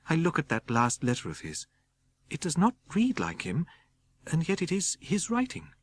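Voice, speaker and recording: A man voicing an anxious young Englishwoman confiding in her journal, in a careful, gentle, worried audiobook reading. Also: mid-range voice, slightly rough. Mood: growing worry.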